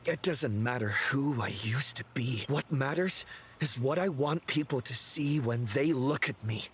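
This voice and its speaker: An actor playing an earnest, resolute young masked hero with deliberate, theatrical anime game delivery. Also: male voice, medium pitch, a bit bright, a little hiss or hum, lightly acted. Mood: solemn, resolute. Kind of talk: dramatic anime game voice acting.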